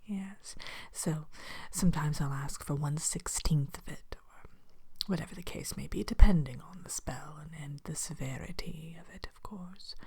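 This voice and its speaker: A woman voicing a politely sinister evil queen who runs a potion shop, in a soft, breathy, hesitant ASMR whisper. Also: deep voice, full character voice. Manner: hesitant, genteel, unhurried, matter-of-fact about dark magic.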